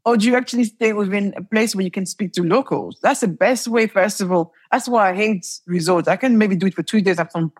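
Husky-voiced woman telling lively stories. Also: mid-range voice, a little fast, few pauses. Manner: animated storytelling, laughs often, repeats words. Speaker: entrepreneur guest. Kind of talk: conversational interview.

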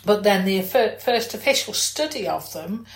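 Female podcast host, chatty and informal, mid-range pitch, recorded in a reverberant room. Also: expressive, normal speed, gravelly. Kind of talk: casual chat. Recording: echoey room.

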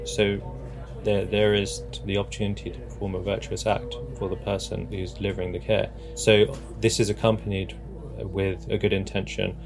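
A man giving measured, reflective answers as an interviewed academic expert, in formal scholarly wording. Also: on the low side, level tone, vocal fry, music in the background. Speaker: interviewed expert on religion and technology.

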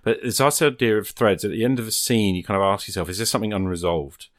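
Deep-voiced man in casual, reflective discussion. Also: quick pace, no echo, clean studio sound. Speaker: podcast host. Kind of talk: casual discussion of solo role-playing games.

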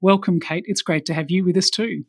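A woman's voice: lowish voice, fairly quick, slightly rough, no room sound, studio quality. A woman hosting a panel discussion in a formal moderating style. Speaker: panel host.

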